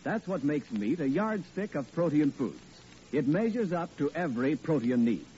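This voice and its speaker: A man reading a scripted radio commercial with smooth, persuasive announcer delivery. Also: fairly high pitch, very animated, moderate pace, warm tone. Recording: dull lo-fi vintage radio broadcast with faint hiss.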